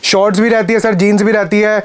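A young man pitching wholesale clothing stock in a warehouse, insistent and eager to sell. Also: flat delivery, slight background noise. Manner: fast, insistent, pitching prices. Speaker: warehouse seller. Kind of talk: sales pitch. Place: large indoor clothing warehouse.

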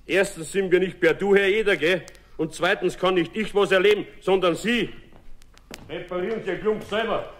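A man's voice: sits high, normal speed, a bit bright. A man playing a huffy, offended mechanic in a German children's radio play, curt and indignant with a Bavarian accent. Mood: huffy, dismissive.

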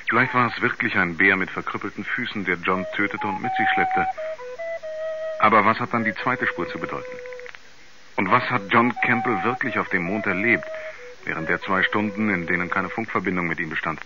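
Sober case narrator, measured and questioning, dull noisy vintage radio play sound. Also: male voice, fairly low pitch. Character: sober male investigator narrating a mysterious case. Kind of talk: scripted documentary-style radio play narration. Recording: dull 1970s radio recording, clear background noise.

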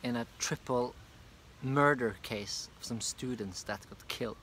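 A man's voice: medium pitch, unhurried, a bit bright, slight background noise, poor audio. A young man with a Norwegian accent who makes comics, chatting loosely through a casual video review on a park bench, with many fillers. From Norway. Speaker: comic artist and vlogger. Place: park bench outdoors, beside a hedge. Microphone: phone camera at arm's length.

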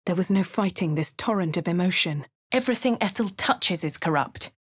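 An actress voicing a posh female adventurer with an English accent, speaking in a breathy, often half-whispered way. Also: fairly low pitch, lively intonation, fairly quick, a bit bright, dry recording. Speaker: voice actor for a player character. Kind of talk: video game voice lines. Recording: dry studio booth.

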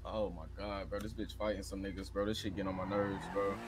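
Fast-talking man in noisy game chat. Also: deep voice, flat delivery. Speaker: player in an online role-play game. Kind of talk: casual slangy chat. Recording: game chat with clear vehicle noise.

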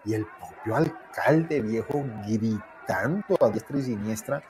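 A man's voice: on the low side, unhurried, darkish tone. A man in his fifties giving informal, disapproving news commentary to camera from an indoor room. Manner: disapproving, colloquial. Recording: crowd noise behind voice.

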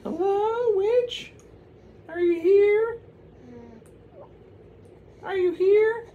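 A man's voice: very high-pitched, very slow, narrow, tinny audio, pitched above the performer's own voice, heavily acted. Madonna, a man doing a timid robot woman. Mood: calling out. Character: nervous female robot helper woman.

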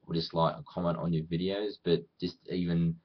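A man's voice: deep voice, level tone, a little fast, dull audio. A man with an Australian accent chatting casually in a rambling, self-deprecating way, as a vlogger talking to viewers. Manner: rambling, self-deprecating, often acting out imagined quotes. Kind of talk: casual chat to camera.

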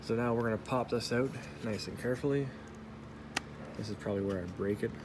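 A man casually reviewing his welding helmet, talking in a halting, rambling way with self-corrections and small jokes, recorded on a handheld phone in a workshop. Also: mid-range voice, noisy background. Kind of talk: casual off-the-cuff product review. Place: workshop, indoors. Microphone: phone camera held in hand.

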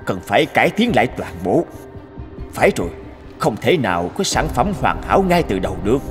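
A man voicing a stern, thoughtful army colonel in a cartoon war drama, speaking deliberately. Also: medium pitch, very animated, music in the background, lightly acted. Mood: thoughtful resolve.

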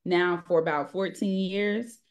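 A woman's voice: on the low side, measured pace. A woman from Cincinnati, Ohio, chatting casually as a guest on a video call.

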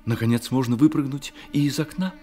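A man narrating a radio drama as scripted literary storytelling, in a measured manner. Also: mid-range voice, dark tone, soft background music.

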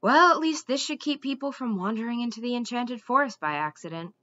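A woman voicing an earnest, mild-mannered male magician in a storybook, with a thoughtful, measured manner. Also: a little high, animated, bright, forceful tone, no room sound, lo-fi sound. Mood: resigned. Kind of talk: audiobook character voice.

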